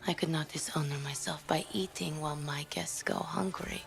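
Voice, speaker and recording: A woman's voice: low-pitched, fairly even intonation, vocal fry. A woman playing a proud princess from a harsh land in a video game, speaking in a solemn, dramatic way. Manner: solemn, slow, dramatic delivery. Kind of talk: video game cutscene acting. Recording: loud music underneath.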